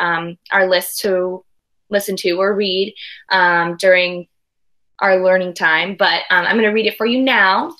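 A woman in her thirties reading a picture book aloud to young children with expressive emphasis and casual asides, in a room at home. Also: medium pitch. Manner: expressive, exaggerated storytelling emphasis. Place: small room at home, indoors.

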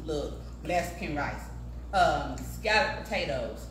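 A woman's voice: low voice, animated, takes it slowly, fairly bright tone. A forty-year-old American woman hosting a cooking show, chatting casually and emphatically to viewers and guests. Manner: emphatic, punctuating with "okay" and "y'all". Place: home kitchen, indoors. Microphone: phone camera a few feet away. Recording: echoey, distant, clearly noisy kitchen recording.

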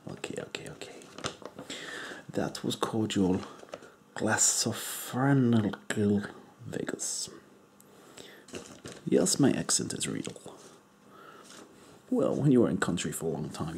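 A man voicing an eccentric, crude foreign male doctor in a deadpan whisper. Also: lowish voice, vocal fry, a little hiss or hum, exaggerated voice. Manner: whispery, slow, deadpan joking. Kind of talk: comedic ASMR roleplay.

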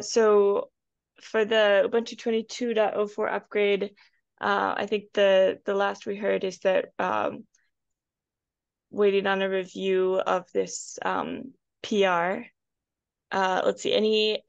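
A woman's voice: medium pitch, flat delivery, takes it slowly, vocal fry, dry recording. A woman hosting an informal meeting over a video call, speaking in a tentative, hesitant manner. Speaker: meeting host. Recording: muffled video call audio.

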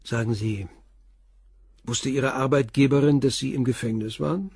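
A radio drama police inspector with a slightly husky voice of medium pitch. He is calm and persistent, putting brief questions methodically, in a very dry recording. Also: moderate pace, dry recording. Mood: probing. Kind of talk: German radio crime drama acting.

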